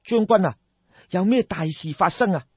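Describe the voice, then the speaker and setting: A man's voice: sits high, moderate pace, slightly rough, no room sound, muffled recording. A man voicing a naive, polite young nobleman in Cantonese, anxious and full of startled questions. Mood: alarmed.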